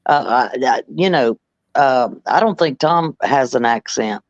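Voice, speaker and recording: A Southern woman from North Carolina talks with a sing-song lilt, her pitch rising and falling a lot. The recording is dry and a little dull, like a lo-fi feed. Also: lively intonation, measured pace, no echo. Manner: drawling, sing-song, says honey and darling. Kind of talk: casual chat. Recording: dull lo-fi sound.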